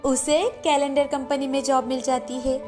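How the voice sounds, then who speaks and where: A woman's voice: high voice, flat delivery, moderate pace, over music. A woman narrator reading a scripted moral story for children.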